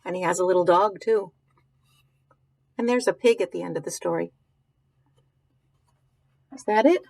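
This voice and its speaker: A woman's voice: fairly low pitch, normal speed, creaky, darkish tone, dry recording. A woman reading a picture book aloud to young children, stopping to ask questions, muse aloud and explain things simply. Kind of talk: read-aloud with informal commentary to young children.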